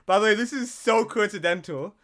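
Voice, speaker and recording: A man's voice: high-pitched, fairly quick, a bit bright. A man co-hosting a gaming podcast, casual, jokey and sweary, improvising and bantering.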